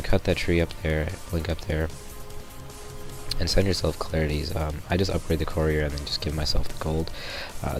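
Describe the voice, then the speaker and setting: Raspy-voiced sick young man, very low. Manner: rambling, many ums and restarts. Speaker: gameplay commentator. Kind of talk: casual gameplay tutorial voice-over. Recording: voice-over with loud background music.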